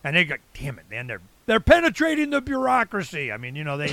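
A man of about sixty with an American accent and a slightly higher-set voice. He talks in a loose, rambling, blunt way with salty slang, like a talk show host chatting with a guest. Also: huge pitch swings, quick pace, sharp tone, dry recording. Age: late 50s to early 60s. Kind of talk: casual conversational interview.

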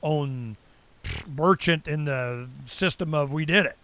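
A man's voice: a little high, slow pace, a bit bright. An American man around sixty hosting a casual interview, rambling and blunt with salty slang. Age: late 50s to early 60s. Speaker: talk show host.

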